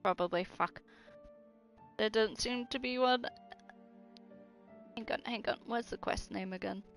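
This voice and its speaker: A woman's voice: fairly high pitch, unhurried, creaky, faint music behind. A woman chatting casually and informally as she streams her gameplay, swearing freely. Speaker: game streamer. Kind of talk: casual live gameplay chat.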